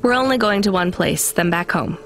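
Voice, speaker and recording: A woman's voice: fairly low pitch, fairly quick, faint music behind, close to an everyday voice. An actress playing a weary, caring young woman who works as a delivery driver in a video game. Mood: calm, fond. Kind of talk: naturalistic video game acting.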